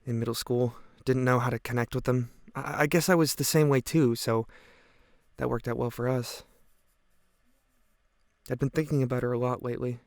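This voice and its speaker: A man's voice: medium pitch, fairly even intonation, normal speed, long pauses, a little mellow, dry recording, studio quality, natural voice. A man acting a guilt-ridden, earnest teenage boy giving hesitant, reflective testimony in an audio drama. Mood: regretful, troubled. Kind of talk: audio drama monologue, police interview testimony.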